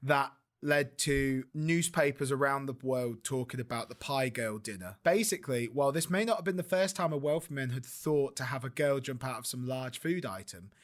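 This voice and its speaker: A young man co-hosting a casual podcast, reading history facts from his notes and stopping to laugh and comment on them. His voice sits at an ordinary middle pitch for a man. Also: normal speed, few pauses. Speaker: podcast co-host.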